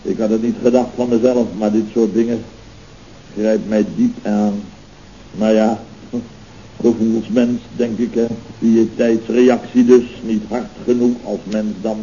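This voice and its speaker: A pompous boss of a building firm in a vintage radio comedy, wallowing melodramatically in self-pity and bluster. His tone is soft-edged and mellow. The old recording is muffled, with a clear layer of noise. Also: lowish voice, level tone, unhurried. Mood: solemn self-pity. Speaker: actor in a radio comedy serial. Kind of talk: 1970s Dutch radio comedy acting.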